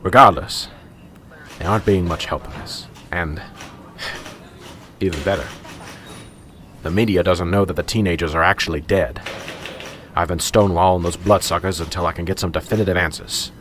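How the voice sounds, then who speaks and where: A man's voice: low-pitched, steady tone, moderate pace, long pauses, a bit bright, slight background noise. A man acting in a radio drama as a flustered small-town police sergeant, apologetic, hesitant and eager to please. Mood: anxious, weary.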